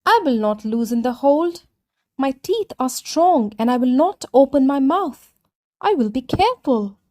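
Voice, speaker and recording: Woman doing a chatty storybook tortoise, kinda high voice, eager n earnest, super confident. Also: sing-song, unhurried, a little mellow. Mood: confident, reassuring. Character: talkative, eager, overconfident male tortoise with a plan.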